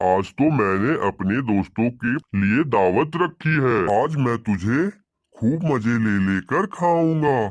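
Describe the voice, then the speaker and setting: A man's voice: medium pitch, no room sound, lightly acted. A man voicing a menacing male eagle villain in a cartoon, gloating as he taunts his prey.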